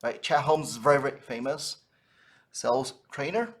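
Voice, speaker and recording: A man's voice: medium pitch, animated, normal speed. A man giving an informal instructional talk as a trainer, conversational and often checking in with a tagged-on "right?". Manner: conversational, frequently tags phrases with "right?". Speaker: sales trainer for funeral businesses.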